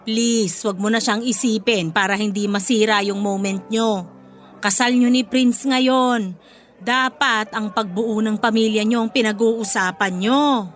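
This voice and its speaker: A woman's voice: on the high side, steady tone, unhurried, lightly acted. A woman playing a blunt, protective middle-aged mother-in-law with a Filipino accent in a radio drama. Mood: reassuring. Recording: music bed behind the voice.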